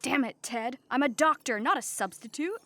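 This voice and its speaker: A woman playing an earnest, literal-minded starship officer in a comedic sci-fi play, dutiful but quick to get defensive. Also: high voice, lively intonation, fairly bright tone, no echo. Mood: indignant.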